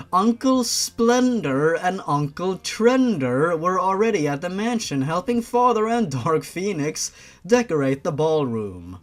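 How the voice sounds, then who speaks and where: A man reading a story aloud as host, theatrical and sarcastic, with sweary mocking asides. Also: high voice.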